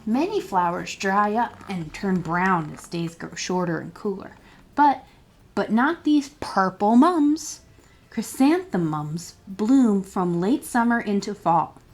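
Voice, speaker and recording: A woman's voice: mid-range voice, expressive, slow pace. A woman reading a children's picture book aloud as a storytime librarian, expressively and with questions put to young listeners.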